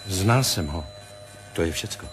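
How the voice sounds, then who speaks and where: A man's voice: sits low, animated, music in the background. An actor playing a reserved military investigator, answering his superior tersely and matter-of-factly in a radio play.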